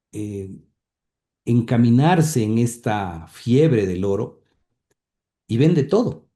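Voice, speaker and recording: A man's voice: on the low side, mellow. A middle-aged man from Ecuador, recounting his family's history in an interview, hesitant with many fillers and restarts. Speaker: interview guest, grandson of the subject.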